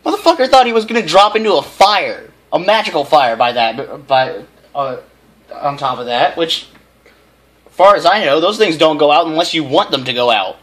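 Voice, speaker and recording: A man's voice: fairly high pitch, lively intonation, normal speed, fairly bright tone. Man stumbling through a story read aloud. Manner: stumbling, often repeating phrases. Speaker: amateur fanfiction reader. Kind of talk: reading a story aloud with character voices.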